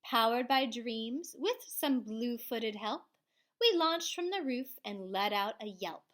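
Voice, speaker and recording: A woman reads a children's story aloud in a theatrical, expressive way. Her pitch is fairly high and swoops widely. She goes slowly, dropping into hushed tones and swelling again. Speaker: elementary school librarian reading aloud.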